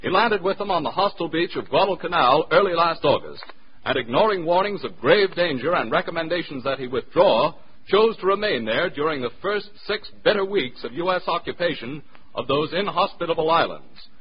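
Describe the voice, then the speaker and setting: A man's voice: fairly high pitch, animated, fairly quick, husky, dull audio. A man with an American accent reading formal, emphatic, dramatic narration as a 1940s radio announcer on an old recording.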